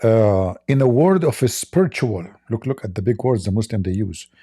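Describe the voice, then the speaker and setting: A man's voice: on the low side, lively intonation, moderate pace, dark tone, slightly rough. A man whose first language is not English, hosting a livestream and mocking video clips in broken English, laughing often. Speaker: livestream host.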